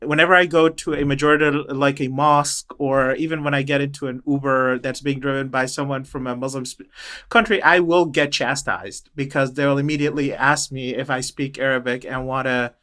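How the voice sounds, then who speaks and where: A middle-aged man speaking as an interview guest, thoughtful and halting, with many restarts and fillers. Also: mid-range voice, fairly even intonation, sharp tone. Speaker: interview guest, choral director. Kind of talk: reflective interview talk.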